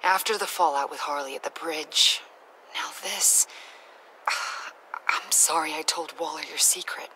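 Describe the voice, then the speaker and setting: An actress playing an earnest, troubled female government agent in a video game, speaking in a hushed, hesitant manner. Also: sits low, unhurried, whispered, rough recording. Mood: guilty, apologetic. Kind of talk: naturalistic video game acting. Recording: clear ambient background noise.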